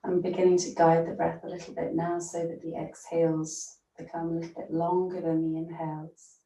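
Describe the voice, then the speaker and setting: A woman teaching yoga nidra, leading a guided meditation in a gentle, soothing manner with long pauses. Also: on the low side, monotone, slight background noise. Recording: roomy echo, distant from mic.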